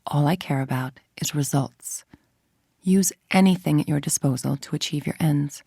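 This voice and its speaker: A woman playing a cold, calculating villain scientist-executive in a video game, speaking in a measured, imperious, menacing way with a husky, creaky voice. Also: low voice, warm tone, no room sound, clean studio sound, exaggerated voice. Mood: cold, dismissive. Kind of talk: scripted dramatic video game monologue.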